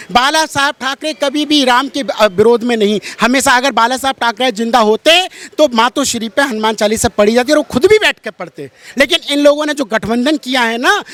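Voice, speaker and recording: A man with a strikingly high, sharp and forceful voice holds forth as a religious interviewee. His delivery is preachy and emphatic, and he hammers points home by repeating his phrases. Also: dry recording. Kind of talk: impassioned street-interview polemic.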